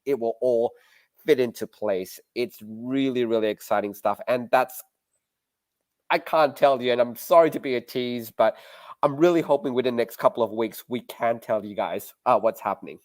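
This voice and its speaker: A man's voice: medium pitch, normal speed, darkish tone, no echo. An Australian man co-hosting a casual live-stream chat, earnest and rambling. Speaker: podcast co-host.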